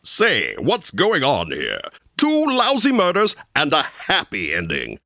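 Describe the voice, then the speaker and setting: Theatrical, wildly swooping horror radio host. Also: male voice, on the high side, wildly swooping pitch, slow pace, pushed and bright, faint music behind, heavily acted. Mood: sour, disgusted. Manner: theatrical, mock-sinister, exasperated. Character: gleefully macabre male horror anthology radio host.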